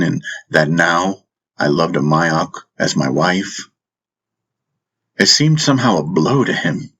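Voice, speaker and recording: A man's voice: deep voice, a bit bright. A man reading a fantasy audiobook as a dramatic first-person narrator, solemn and intense.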